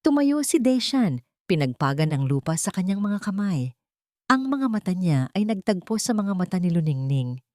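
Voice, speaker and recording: Female storyteller for romantic audio drama: slightly low, highly expressive pitch, measured emotive phrasing. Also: no echo, studio quality. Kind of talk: dramatic scripted storytelling narration of a love story.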